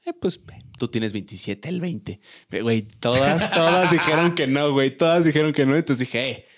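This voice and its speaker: A man's voice: on the high side, normal speed, dry recording, clean studio sound. A man in his twenties with a Mexican accent, co-hosting a podcast and chatting casually in slangy, colloquial speech. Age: 20s. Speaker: podcast co-host. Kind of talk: casual chat, anecdote telling.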